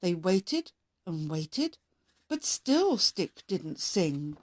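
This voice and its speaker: A woman narrating a children's story as a read-aloud host, expressive and measured in her delivery. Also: fairly low pitch.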